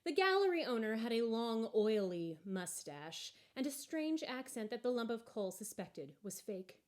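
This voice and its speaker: A woman's voice: fairly high pitch, sing-song, normal speed. Woman reading a wry storybook aloud. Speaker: storybook reader. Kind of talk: expressive scripted story narration.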